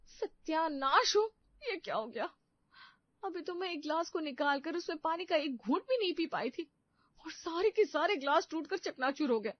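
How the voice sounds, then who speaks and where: Woman as melodramatic young cartoon housewife, very high voice, complaining, dry dulled sound. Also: sing-song, moderate pace, dry recording, muffled recording. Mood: dismayed, lamenting. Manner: melodramatic lamenting.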